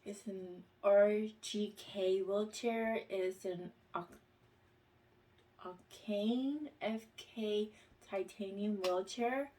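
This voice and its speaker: Slow, halting woman vlogger, slightly distant. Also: mid-range voice, darkish tone. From United States. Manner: reads each question aloud, then answers haltingly with fillers. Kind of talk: casual question-and-answer video.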